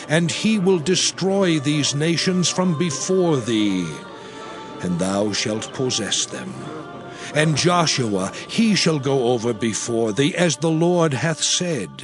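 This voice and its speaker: An actor playing an aged prophet and leader, solemn and exhorting, in a grave dramatized scripture reading. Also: male voice, mid-range voice. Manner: grave, deliberate, declamatory. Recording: background music under the voice.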